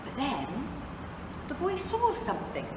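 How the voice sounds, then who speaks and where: A woman reading a children's storybook aloud expressively, with dramatic phrasing and deliberate pauses for young listeners. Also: high voice, huge pitch swings. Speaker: English teacher reading a picture book aloud. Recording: echoey, reverberant room, distant mic, noisy background.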